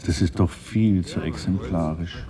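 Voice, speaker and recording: An actor playing a grumbling, brooding director who mutters a sardonic, literary interior monologue in a radio play. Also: male voice, low-pitched, a little slow, crowd noise. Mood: wry, musing.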